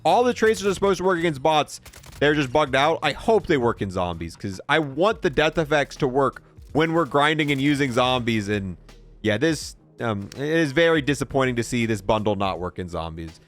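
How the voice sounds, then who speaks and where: A man's voice: mid-range voice, expressive, moderate pace, fairly bright tone, soft background music. A young adult man giving casual, slangy commentary as he reviews video game content. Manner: informal, slangy. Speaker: gaming video creator.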